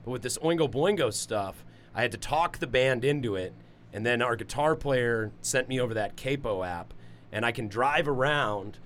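Deep-voiced man hosting a casual podcast. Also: normal speed, fairly bright tone, slight background noise. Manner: rambling, loose and profane. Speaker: podcast host; singer. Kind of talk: casual chat.

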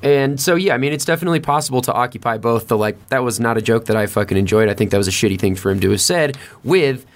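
A man's voice: medium pitch, quick pace, hardly any pauses. A man chatting casually on a podcast, swearing freely and rambling through his thoughts with hesitant phrasing.

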